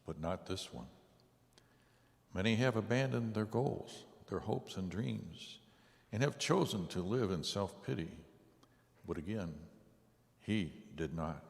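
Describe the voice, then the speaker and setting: A man performing a solemn, deliberate church reading as Sorrow, a grim and bitter tormentor. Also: low-pitched, vocal fry, full character voice. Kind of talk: dramatic church reading, monologue. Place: large church sanctuary. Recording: long reverberant church hall echo.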